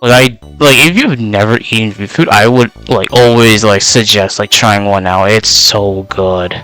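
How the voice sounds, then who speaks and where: A man's voice: lowish voice, measured pace, bright, forceful tone, clear, resonant tone, soft background music, rough recording. A young man, a native Vietnamese speaker, gives a casual in-character self-introduction as a VTuber. He rambles with many filler words, and his voice is slightly worn out.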